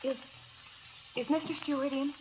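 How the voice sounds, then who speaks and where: An actress in a 1940s radio drama playing a determined young woman, halting and polite yet insistent. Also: sits high, fairly even intonation, natural voice. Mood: timid, polite. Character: determined young woman searching for her missing sister. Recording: dull, noisy vintage radio recording.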